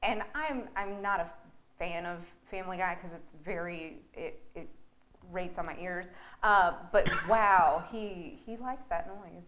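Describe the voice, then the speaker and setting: A woman's voice: on the low side, a little slow, a bit bright. A woman chatting casually as a theatre director on a panel, hesitant and thinking aloud. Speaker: theatre director being interviewed. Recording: faint background noise, local TV recording.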